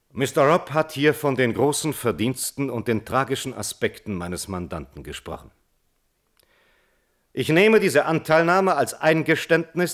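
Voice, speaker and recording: Earnest male defence attorney, medium pitch, measured and argumentative radio-drama courtroom delivery. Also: fairly bright tone. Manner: formal, deliberate, argumentative. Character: measured, earnest defence lawyer pleading at a hearing.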